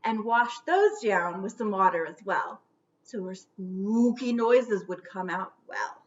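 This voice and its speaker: A woman reading a children's storybook aloud theatrically, stretching out words and dropping into hushed whispers for suspense. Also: medium pitch, animated, takes it slowly, muffled recording.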